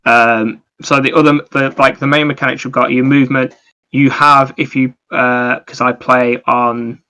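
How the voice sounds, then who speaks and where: Male, gaming podcast co-host. Bright, sharp tone, little pitch movement, rambling explanations. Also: little pitch movement, normal speed. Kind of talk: casual gaming discussion.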